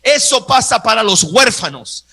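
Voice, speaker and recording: Male preacher, very high bright voice, emphatic sermon delivery that hammers repeated phrases. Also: big pitch swings, unhurried, vocal fry, husky. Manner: acts out Bible dialogue. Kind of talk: sermon to a live congregation.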